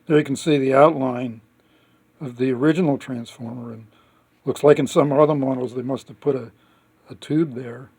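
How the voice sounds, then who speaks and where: A man giving a casual step-by-step demonstration as a hobbyist, speaking haltingly with pauses as he works. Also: medium pitch, steady tone, darkish tone, breathy edge. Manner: halting, pausing mid-sentence as he works. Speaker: hobbyist restoring a vintage radio.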